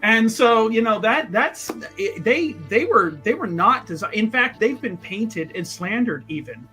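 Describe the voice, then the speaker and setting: A player acts out a flamboyant male mage in a tabletop roleplaying game. The voice is bright and forceful at a middling pitch, tumbling through hesitant, effusive rambles. Music plays softly underneath. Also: expressive, unhurried. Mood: earnest, defensive. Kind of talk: improvised in-character tabletop roleplay.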